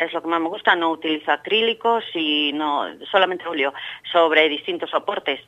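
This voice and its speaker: A woman's voice: fairly low pitch, fairly bright tone. Middle-aged woman from castile, painter on a radio call, lots of ums and restarts, muffled line. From Spain, Castile. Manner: hesitant, many fillers and restarts, light laughs. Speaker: painter interviewed as guest. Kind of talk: phone interview on radio.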